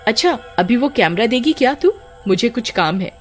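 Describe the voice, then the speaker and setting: A woman playing a teasing, easily frightened young village woman in animated horror story dialogue, exclamatory and dramatic. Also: fairly high pitch, moderate pace, faint music behind, lightly acted. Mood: teasing, worried.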